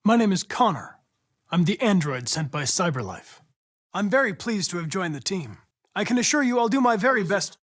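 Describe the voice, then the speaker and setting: High-voiced, formal android detective. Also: male voice, very animated, normal speed, fairly bright tone, no room sound, studio quality, exaggerated voice. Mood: polite, composed. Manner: formal, precise, controlled diction. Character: polite, determined male android police investigator, young adult. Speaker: video game character. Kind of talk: video game voice acting.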